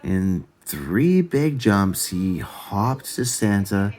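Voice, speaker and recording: A man reading a children's story aloud in a deliberate, expressive way. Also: fairly low pitch. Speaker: storybook reader.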